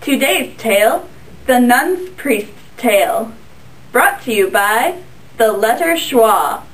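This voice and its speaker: High-voiced young woman narrating a storybook. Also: animated, takes it slowly, low-quality recording. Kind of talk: read-aloud storybook narration for a class project.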